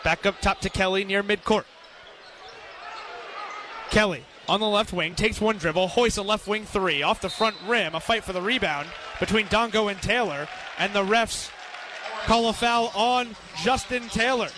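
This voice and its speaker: A man's voice: high-pitched, moderate pace. An American man doing live radio play-by-play of a basketball game, projecting like an announcer. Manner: projecting over crowd, announcing. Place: courtside in an indoor basketball arena. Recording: loud arena crowd noise, bouncing balls. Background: crowd, ball bounces.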